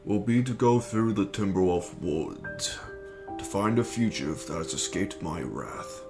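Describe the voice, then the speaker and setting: A man voicing a formal, menacing male conqueror with a measured, authoritative manner in a dramatic reading. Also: deep voice, expressive, vocal fry, exaggerated voice. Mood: cold, formal. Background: background music.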